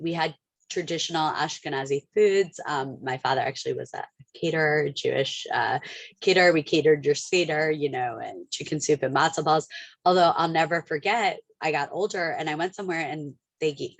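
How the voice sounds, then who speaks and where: Woman, very low pitch, warm chatty storyteller on a webinar video call, dry room sound. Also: no room sound. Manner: chatty, storytelling, laughs easily. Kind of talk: webinar conversation.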